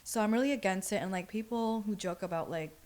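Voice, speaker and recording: A woman's voice: mid-range voice, fast, darkish tone. A young adult woman chatting casually on a podcast, telling personal stories in an informal, slangy and emphatic way. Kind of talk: casual chat, personal storytelling.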